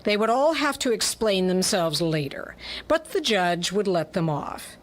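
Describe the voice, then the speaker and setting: Female storyteller, scripted radio drama narration. Medium pitch, measured and slow pace.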